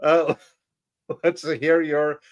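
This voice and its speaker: A man hosting a casual live stream panel, speaking in a halting, wry way. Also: fairly high pitch, little pitch movement, lo-fi sound. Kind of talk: casual chat.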